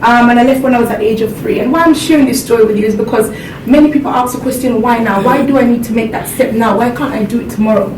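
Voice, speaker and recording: A woman from Jamaica speaks earnestly to a seminar audience, her fairly high voice set back from the mic. The room is echoey, and a steady rumble runs underneath. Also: quick pace, hardly any pauses. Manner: earnest, laughs along with the audience. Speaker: motivational speaker. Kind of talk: motivational seminar talk. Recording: echoey hall, distant mic, background rumble.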